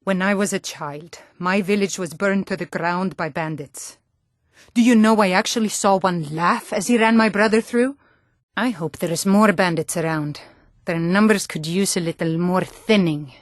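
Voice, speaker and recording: A woman's voice: lowish voice, normal speed, dry recording, studio quality, natural voice. An actress playing a tough, blunt Nordic warrior woman in a fantasy video game, speaking firmly with a Nordic accent. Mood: grim. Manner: firm, blunt.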